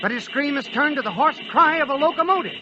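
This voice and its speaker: A man's voice: extremely high pitch, animated, quick pace. A man playing an urgent, dramatic narrator, giving breathless play-by-play in 1940s radio drama style. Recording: dull, noisy vintage radio recording.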